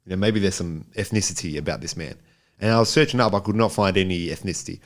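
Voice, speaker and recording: A man's voice: deep voice, quick pace. A man co-hosting a podcast, giving a casual film review in a rambling, jokey way and repeating himself for emphasis. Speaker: podcast co-host.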